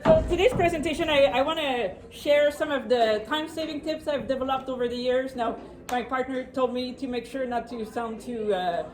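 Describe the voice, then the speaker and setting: A woman with a French accent gives a casual workshop talk, fairly high-pitched and brisk. She is chatty and self-deprecating and often laughs between points. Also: a little mellow. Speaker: workshop presenter. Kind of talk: informal live presentation.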